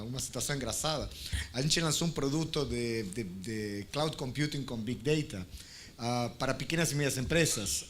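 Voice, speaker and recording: A man's voice: fairly high pitch, fairly bright tone, a little hiss or hum. Argentine man on a conference panel. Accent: Argentine Spanish. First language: Spanish. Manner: halting, many restarts and fillers.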